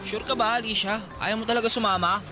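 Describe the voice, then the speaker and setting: A man's voice: high voice, fairly even intonation, fast, over music, natural voice. An actor playing an earnest, smitten young man in a radio drama. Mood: friendly, eager. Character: smitten young fairground suitor, earnest and friendly. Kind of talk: Filipino radio drama acting.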